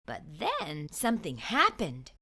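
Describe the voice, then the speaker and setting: A woman reading a children's picture book aloud as an expressive narrator. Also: on the low side, unhurried, slightly rough. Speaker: children's story reader.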